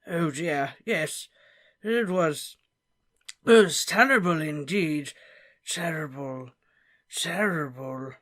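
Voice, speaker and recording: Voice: mid-range voice, fairly bright tone. Slughorn, a tipsy old wizard man voicing. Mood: mournful. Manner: drawn-out, quavering and wheedling.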